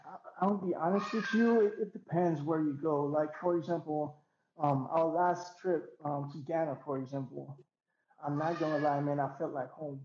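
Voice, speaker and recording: A man's voice: on the high side, fairly even intonation, normal speed, a little mellow, echoey room, slight background noise. Laid-back Haitian man on a muffled call. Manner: laid-back, rambling with many fillers and restarts. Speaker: DJ and interview guest. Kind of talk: casual interview over a call.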